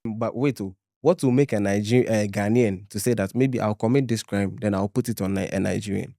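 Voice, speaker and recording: A man arguing rapidly on a podcast clip, interrupting and pressing his point. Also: lowish voice, mellow, dry recording, studio quality.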